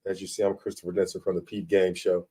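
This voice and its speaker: A man in his fifties with a Chicago accent, hosting his own show and talking straight to camera in a relaxed, slangy way, often checking in with "you dig?". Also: low voice, fast, breathy edge. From Chicago, USA. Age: 50s. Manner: laid-back street slang, frequent "you dig?" and restarts. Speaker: show host. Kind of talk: casual talk to camera.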